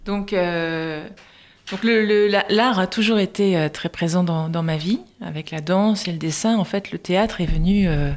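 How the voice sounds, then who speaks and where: Actress guest from Nice, lowish voice, halting, chatty, laughs a lot. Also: female voice. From Nice, France. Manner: hesitant, many fillers and restarts, laughs often. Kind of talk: interview answers.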